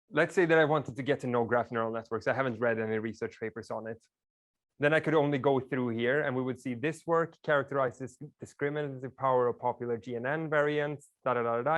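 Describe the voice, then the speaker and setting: A man whose first language is Swedish, giving a casual classroom lecture and explaining things with frequent "like" fillers. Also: medium pitch.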